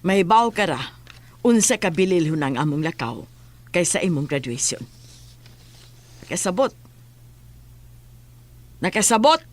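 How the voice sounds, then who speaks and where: A woman's voice: lowish voice, sing-song, unhurried, pauses often. A woman playing a scornful, bitter character in an audio drama, berating in a cutting way. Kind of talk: radio drama dialogue.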